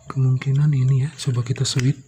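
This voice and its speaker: A man's voice: mid-range voice, little pitch movement, fairly quick, warm tone, slight background noise. A man giving a casual hands-on repair commentary, thinking aloud step by step as he works. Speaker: electronics repairer and video host.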